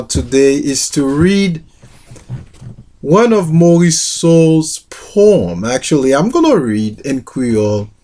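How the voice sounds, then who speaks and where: A middle-aged man who introduces a poetry collection and reads a poem aloud, speaking haltingly with many fillers. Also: a little high, darkish tone. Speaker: literature teacher.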